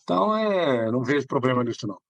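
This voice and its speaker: Casual Mineiro man, rambling and slightly husky. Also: medium pitch, big pitch swings, quick pace, fairly bright tone, no room sound. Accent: Brazilian Portuguese, Minas Gerais. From Belo Horizonte, Brazil. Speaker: podcast guest. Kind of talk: casual conversational interview answers.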